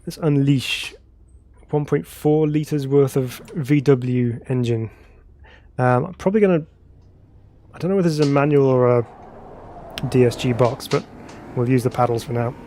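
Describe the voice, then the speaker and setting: A man's voice: mid-range voice, steady tone, unhurried, long pauses, mellow. Congested man streaming sim racing. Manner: chatty and self-laughing, reads viewer chat aloud. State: congested from a cold. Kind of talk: live gameplay commentary.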